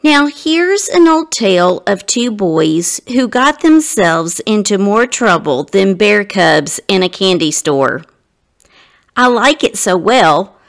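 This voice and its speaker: A woman narrating a children's storybook expressively, with deliberate phrasing that addresses young listeners directly. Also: mid-range voice, fairly bright tone. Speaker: guest story reader.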